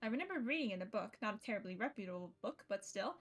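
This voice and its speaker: Quick-talking young woman streamer. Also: mid-range voice, lively intonation, soft background music. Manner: self-deprecating, chatty asides between read lines. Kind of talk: reading a visual novel aloud with live commentary.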